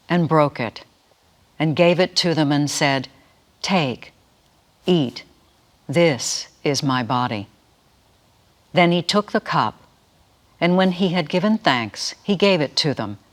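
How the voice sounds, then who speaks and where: A woman giving a solemn, deliberate dramatic reading of scripture as a voice actor. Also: deep voice.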